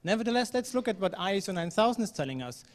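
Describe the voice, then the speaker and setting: A man from Germany gives a talk at a conference, his voice a touch higher than most men's. He speaks in a relaxed, conversational way, full of ums and restarts. His voice rings with the long reverb of a large hall. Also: rapid-fire. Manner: conversational, fillers, occasional non-native phrasing.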